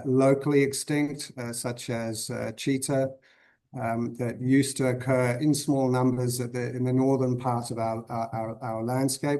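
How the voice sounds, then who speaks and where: Flat-voiced man from Zimbabwe, in his fifties. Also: medium pitch. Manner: thoughtful, many fillers and restarts. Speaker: guest conservationist. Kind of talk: conversational podcast interview.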